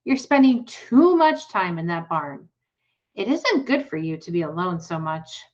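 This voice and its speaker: A woman's voice: on the low side, big pitch swings, measured pace, a little mellow. Fussy worried farm mom, kinda anxious, lady reading her, muffled lo-fi audio.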